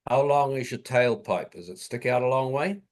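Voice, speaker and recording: Mid-pitched man giving direct advice. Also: moderate pace. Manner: direct, matter-of-fact. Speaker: club member giving technical advice. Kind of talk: casual troubleshooting. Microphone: conference call.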